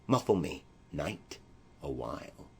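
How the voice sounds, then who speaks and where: A proud young nobleman of classical tragedy, voiced by a male reader, mournful and righteous. The delivery is formal, slow verse declamation in a husky voice. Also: extremely low pitch, very animated, dark tone, voice pushed down, exaggerated voice. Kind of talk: classical tragedy audiobook acting.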